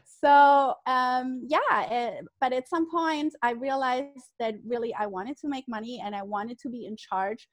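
A German-born woman in her thirties with a German accent, answering interview questions frankly, laughing easily and restarting her sentences. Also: a little high. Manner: frank, self-deprecating, laughs often, halting restarts. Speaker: guest, real estate agent.

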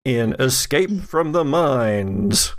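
A man narrating and recapping a tabletop roleplaying game as game master, in measured phrases with pauses. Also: mid-range voice, lively intonation.

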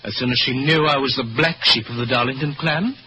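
An actor playing a suave, mocking aristocratic blackmailer in an old radio mystery. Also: male voice, mid-range voice, brisk, close to an everyday voice. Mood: smug, insinuating. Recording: dull, very noisy old radio recording.

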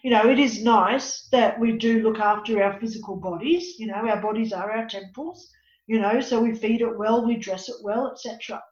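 A woman in her fifties with a raspy voice, speaking as an interview guest and life coach. She talks in an emphatic, preachy way and often says "you know.". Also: a little high, fairly even intonation, moderate pace, darkish tone. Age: 50s. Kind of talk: earnest personal conversation. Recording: echoey, dull lo-fi audio.

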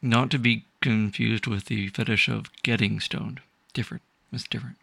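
A man's voice: lowish voice, fairly even intonation, normal speed, gravelly, a bit bright, husky. A man co-hosting a casual podcast, adding dry jokes. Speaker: podcast co-host. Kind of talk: casual chat.

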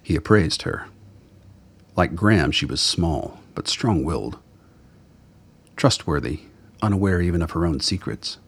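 A man's voice: low-pitched, expressive, a little slow, gravelly, pauses often, breathy edge. A man reading scripted narration for a radio drama.

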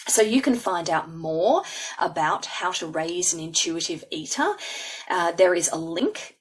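A woman's voice: fairly low pitch, animated, measured pace, far from the mic, poor audio. A woman hosting a podcast, introducing her guest in a casual, rambling way with many fillers and restarts. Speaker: podcast host.

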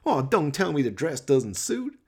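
A man's voice: a little high, wildly swooping pitch, moderate pace, a little mellow, husky, voice pushed up, heavily acted. A man voicing a brash, sleazy gang boss in a comic radio play, mocking and swaggering with slangy threats. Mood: mocking menace.

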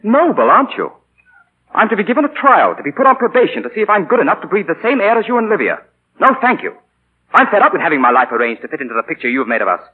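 An actor playing a bitter, defiant grown son, sarcastic and clipped, in 1940s radio drama style. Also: male voice, sits high, huge pitch swings, rapid-fire, full character voice. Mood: bitter, scornful. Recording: tinny, rough 1940 radio broadcast.

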